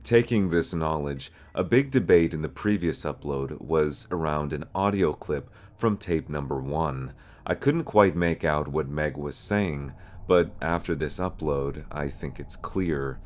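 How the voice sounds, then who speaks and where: A man's voice: extremely low pitch, a little slow. A man hosting an explainer series in a semi-scripted narration style. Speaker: host of an analog horror explainer series.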